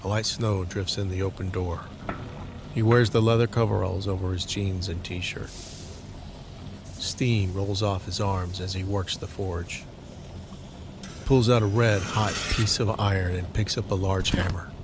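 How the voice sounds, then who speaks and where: A man's voice: low-pitched, level tone, unhurried, background noise. A man reading scripted dramatic narration for an audio drama. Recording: dramatic music under the voice. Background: music.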